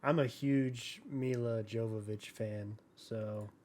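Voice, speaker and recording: A man co-hosting a podcast, giving casual opinions on films in halting, hesitant phrases. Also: mid-range voice, steady tone, mellow. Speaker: podcast co-host. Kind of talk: casual movie discussion.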